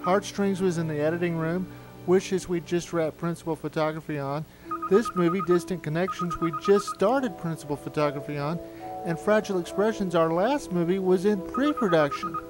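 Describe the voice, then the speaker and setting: A man's voice: high-pitched, normal speed, poor audio. A man narrating a reflective, anecdotal documentary retrospective as the filmmaker. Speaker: filmmaker looking back on studio's history. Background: music.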